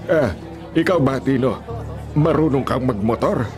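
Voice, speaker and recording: A man's voice: medium pitch, unhurried, music in the background, crowd noise, lightly acted. A man in a radio drama playing a scheming, condescending older town mayor with a smug, falsely genial and pointed manner.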